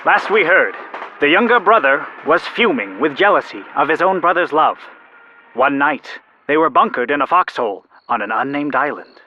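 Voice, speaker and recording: A man's voice: a little high, very animated, normal speed, sharp tone, lo-fi sound, a little hiss or hum. A man acting an anxious young radio narrator and peacemaker in an audio drama, earnest and dramatic. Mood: dramatic, narrating.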